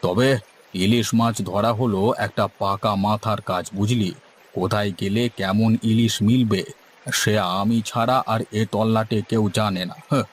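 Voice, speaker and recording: A man's voice: fairly low pitch, steady tone, normal speed, natural voice. A man playing a seasoned, middle-aged village boatman in a cartoon story, speaking in a folksy, know-it-all way. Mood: boastful. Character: seasoned, boastful village boatman and hilsa fisherman, elder-brother figure. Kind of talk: Bengali cartoon story dialogue. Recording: faint background noise and ambience.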